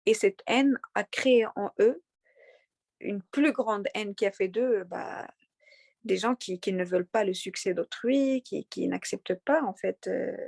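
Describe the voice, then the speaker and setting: A young woman with a light Moroccan accent speaks earnestly, stumbling over repeated words as she answers. Her voice sits in a middle pitch. The recording is close and dry, with no room sound. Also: creaky, darkish tone, husky, no echo. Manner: earnest, halting with many fillers and repeated words. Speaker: interviewee. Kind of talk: conversational interview.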